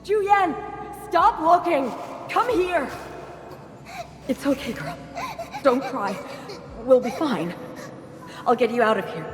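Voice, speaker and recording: A frantic, protective woman in a game disaster scene. Her high voice speaks slowly through heavy echo. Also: animated. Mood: urgent. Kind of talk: video game voice acting. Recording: echoey hall reverb, music behind.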